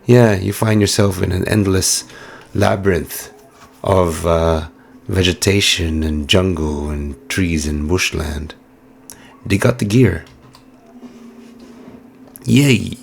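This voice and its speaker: A hoarse man giving casual, musing let's-play commentary with plenty of fillers while he plays. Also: low-pitched, slow pace, pauses often, a little hiss or hum. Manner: musing, chatty, many fillers. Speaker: game streamer.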